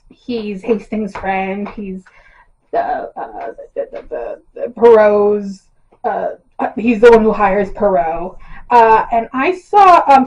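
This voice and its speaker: A hoarse woman chatting casually to camera as a YouTuber, rambling and poking fun at herself, with lots of ums and little laughs. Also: on the high side, darkish tone, dull audio. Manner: rambling and self-deprecating, with frequent ums and laughs. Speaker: YouTuber casting book adaptations. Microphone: built-in camera mic, no external mic.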